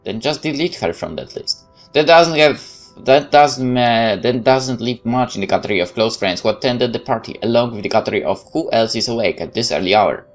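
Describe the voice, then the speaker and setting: A man's voice: medium pitch, animated, fairly quick. A man doing a let's play, reading a visual novel's narration aloud and adding wry casual commentary, with game music behind him. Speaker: gaming YouTuber.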